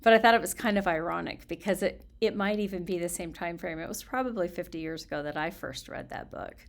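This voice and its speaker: An older woman talks loosely and fondly, as if to people she knows. Her voice crackles with heavy creak. She sounds short on sleep and a bit punchy, wandering from thought to thought in a chatty, expressive way. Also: fairly low pitch, fast talker. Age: older, around 60s. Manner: chatty, affectionate, expressive, giggly. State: tired, slightly punchy from little sleep. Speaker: storytelling vlogger. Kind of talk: casual, rambling personal chat to camera.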